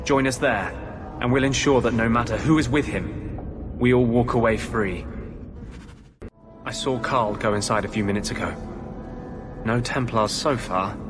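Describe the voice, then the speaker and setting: An actor voicing an earnest, defiant mage healer with a measured, intense delivery in a dramatic video game. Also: male voice, medium pitch, lightly acted. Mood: earnest, determined. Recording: music behind the voice.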